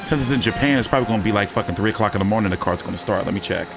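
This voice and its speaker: A man's voice: fairly low pitch, rapid-fire, creaky, over music. Profane, joking male live-stream host. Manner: loose, profane, joking banter. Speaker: boxing commentary host. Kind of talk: casual live-stream fight commentary.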